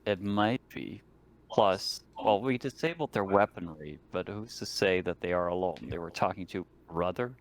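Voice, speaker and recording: A man's voice: sits low, moderate pace. A man chatting casually as a player in a tabletop roleplaying game.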